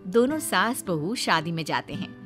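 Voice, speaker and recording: A woman's voice: lowish voice, expressive, moderate pace, a bit bright. A woman narrating a scripted comic story, chatting directly to her listeners. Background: music.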